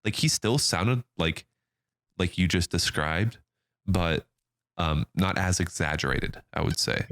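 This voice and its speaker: Low male voice, native German speaker from Germany, casual and blunt podcast talk, close dry sound. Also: a little slow, fairly bright tone, no room sound. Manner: blunt, slangy, swearing. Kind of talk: casual opinionated chat.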